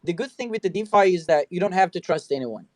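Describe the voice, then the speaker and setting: A man's voice: high-pitched, animated, fast talker, dry recording, clean studio sound. A man hosting a talk show, speaking casually and leaning on you know between phrases. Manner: conversational, many you know fillers. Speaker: host.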